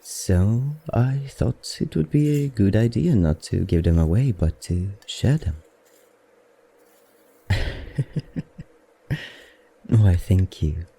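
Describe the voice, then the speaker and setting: A man voicing a suave, softly predatory vampire librarian in an intimate ASMR roleplay, with a courtly, teasing manner and an affected accent. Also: lively intonation, slow, long pauses, full character voice. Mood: genial, welcoming. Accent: affected, non-native accent. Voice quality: low, dark, gravelly murmur.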